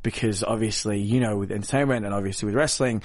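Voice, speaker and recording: A man's voice: on the low side, fast. A man with an Australian accent chatting casually as an interview guest, informal and self-deprecating, in a loose, rambling way. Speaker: interview guest, professional wrestler. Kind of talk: casual, joking interview chat.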